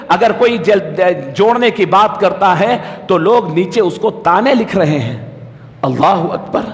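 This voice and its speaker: High-pitched male preacher in an echoing hall. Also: a bit bright. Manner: admonishing, exhorting, rhetorical questions. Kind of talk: sermon in a mosque. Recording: long hall reverb, amplified preaching.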